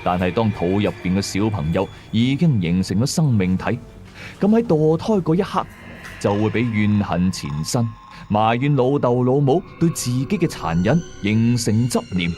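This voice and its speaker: A man's voice: lowish voice, sing-song, normal speed, darkish tone. A man playing a veteran exorcist who narrates his ghost cases in Hong Kong Cantonese, explaining and moralizing to listeners in a radio horror story. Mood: ominous. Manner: explanatory, conversational asides to listeners. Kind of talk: scripted first-person horror storytelling. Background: music.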